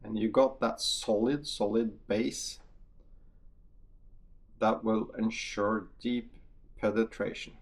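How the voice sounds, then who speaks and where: A man with a Norwegian accent, a native Norwegian speaker, giving a halting, matter-of-fact casual review of hunting bullets. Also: on the low side, steady tone. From Norway. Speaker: hunter testing bullets.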